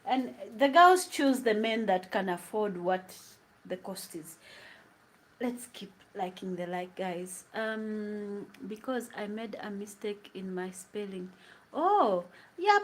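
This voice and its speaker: A young woman with a Ugandan accent, chatting casually and laughing often as she cooks at home and reads out viewers' questions. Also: on the high side. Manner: chatty, laughs often, drifts between English and Luganda. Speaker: vlogger. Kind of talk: casual chat while cooking, answering live comments. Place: home kitchen.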